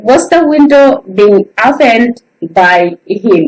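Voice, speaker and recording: A woman's voice: a little high, expressive, unhurried, darkish tone, poor audio. A woman with a Bangladeshi accent, a native Bengali speaker, teaching an online grammar lesson and repeatedly addressing her students directly.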